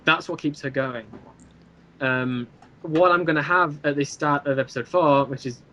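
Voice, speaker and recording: A man's voice: on the high side, moderate pace, bright, forceful tone, lo-fi sound. A man chatting casually as an interview guest, explaining his series in a rambling, eager way. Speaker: guest, creator of an audio drama series.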